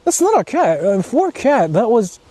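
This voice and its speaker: A man's voice: very high voice, very animated, moderate pace, dark tone. A man chatting casually on a live stream outdoors, thinking aloud and repeating himself with exclamations and casual swearing. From Japan. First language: Japanese. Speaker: livestreamer host exploring on foot. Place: outdoors, walking in the countryside. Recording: noisy outdoor live-stream, ambient vehicle sounds.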